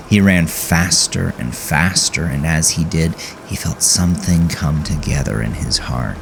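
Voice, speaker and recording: Super deep voiced guy reading a dark horror story, gravelly and grave, music underneath. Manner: grave, measured, dramatic delivery with drawn-out words. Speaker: narrator of horror fiction.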